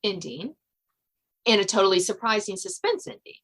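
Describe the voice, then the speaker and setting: A woman's voice: mid-range voice, very animated, moderate pace, a bit bright. A woman hosting a podcast interview, talking in a chatty, laughing way with many fillers. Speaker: podcast host. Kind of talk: casual interview chat.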